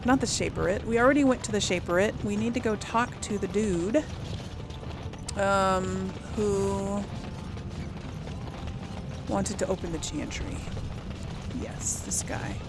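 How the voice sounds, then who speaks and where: A woman's voice: mid-range voice, takes it slowly, long pauses, background noise. A woman giving casual commentary on a role-playing game, thinking aloud in a conversational way as its host. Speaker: gaming channel host.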